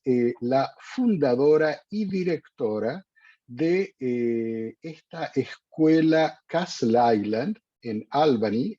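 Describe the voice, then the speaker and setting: A man hosting a radio interview in a measured way with frequent fillers. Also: mid-range voice, dark tone, husky, no room sound. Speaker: host. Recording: dull dry lo-fi sound.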